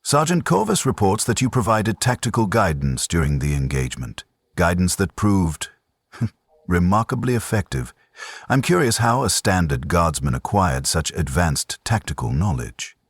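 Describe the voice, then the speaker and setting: Man playing a measured, low-voiced colonel. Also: sing-song, breathy edge, no room sound, clean studio sound, exaggerated voice. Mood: measured, curious. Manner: measured, controlled, firm. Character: senior male colonel, measured, pragmatic commander.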